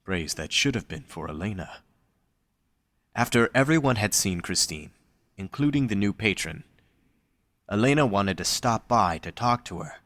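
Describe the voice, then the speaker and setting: Male narrator for scripted fiction. Low-pitched, dry recording. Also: animated, normal speed, long pauses, a bit bright, no room sound.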